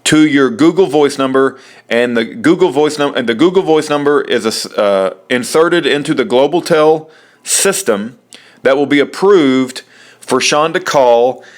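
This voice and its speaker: Guy with a mid-range voice doing a casual how-to, kinda halting, goes back over stuff as he explains. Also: mid-range voice. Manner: halting, explanatory, repeats himself. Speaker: presenter of a how-to video. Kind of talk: informal spoken tutorial, step by step.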